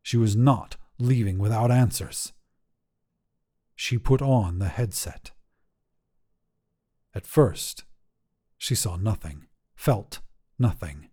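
A man narrating a scripted short story in a measured, dramatic storytelling manner. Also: mid-range voice, dark tone. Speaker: fiction podcast narrator.

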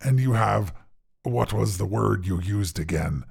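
Gravelly, deep, smug dinosaur. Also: male voice, lively intonation, measured pace, a little mellow, exaggerated voice. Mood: smug, scheming. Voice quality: gravelly, husky low growl. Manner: pompous, learned, formal phrasing. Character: huge smug erudite carnivorous dinosaur. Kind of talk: character voice in narrated short fiction.

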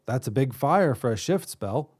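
A man's voice: medium pitch, animated, moderate pace, darkish tone, breathy edge. A man voicing a mild-mannered homeowner who hates confrontation, sounding reluctant and mildly annoyed. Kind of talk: storybook reading.

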